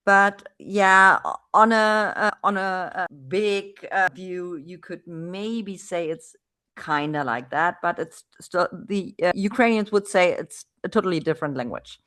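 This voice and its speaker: German-accented woman host, lowish voice, chatty over a video call, restarts often. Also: unhurried, fairly bright tone. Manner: chatty, frequent restarts and fillers. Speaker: podcast host. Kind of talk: casual video-call interview.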